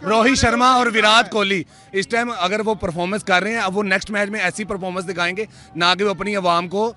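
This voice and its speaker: A young man speaks fervently at a night street interview, delivering his opinion in a preachy, pleading way. His voice sits high and bright. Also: steady tone, fast. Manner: fervent, preachy, often invokes God. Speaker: cricket fan interviewee. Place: outdoors at night in a crowd. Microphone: handheld mic held by interviewer.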